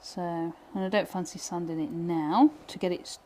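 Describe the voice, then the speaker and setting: A woman's voice: lowish voice, steady tone, normal speed, dark tone, slight background noise. Chatty British woman teaching wood burning. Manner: chatty, self-deprecating, thinks aloud with many fillers. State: voice going hoarse. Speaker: pyrography instructor. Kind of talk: live tutorial chat while working.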